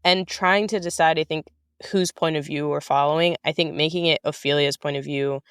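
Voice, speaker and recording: A woman's voice: low-pitched, steady tone, a little fast, smooth, no echo. Woman thinking aloud in a dry-sounding interview. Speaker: interview guest, writer of audio fiction. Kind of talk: casual, thoughtful interview talk.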